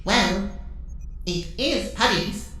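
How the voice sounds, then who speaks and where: A woman's voice: mid-range voice, lively intonation, very slow, a bit bright. A woman playing an inquisitive robot helper in an audio drama. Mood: concerned, curious. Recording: very echoey chamber, music underneath.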